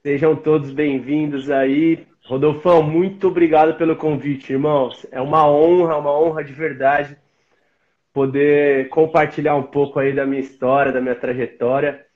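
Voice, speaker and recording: Man, 40s, São Paulo accent, slangy and easygoing, slightly high voice, muffled call audio. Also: flat delivery, moderate pace. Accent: São Paulo Brazilian Portuguese. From São Paulo, Brazil. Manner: slangy, laid-back, chummy. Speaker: interview guest. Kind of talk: casual live-stream interview. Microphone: video call.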